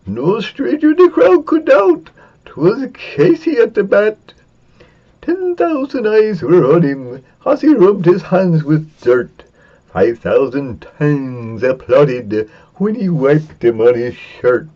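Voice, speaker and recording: A man giving a semi-dramatic reading of a narrative poem, theatrical and drawn-out, with big swings for suspense and excitement. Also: fairly high pitch. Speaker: poetry reader. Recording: dull, lo-fi sound.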